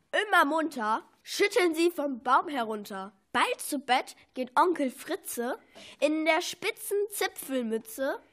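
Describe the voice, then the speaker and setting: A woman's voice: high voice, fairly bright tone, exaggerated voice. A woman playing a mischievous bookworm from a picture book in a children's radio play, declaiming with relish. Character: cheeky prankster bookworm from a classic picture book.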